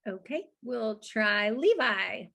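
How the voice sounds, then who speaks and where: A woman with a distinctly high voice talks like a teacher running a question session for children. Her manner is informal, chatty and encouraging, and she moderates in a casual, friendly style. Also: big pitch swings, slow pace, gravelly, sharp tone.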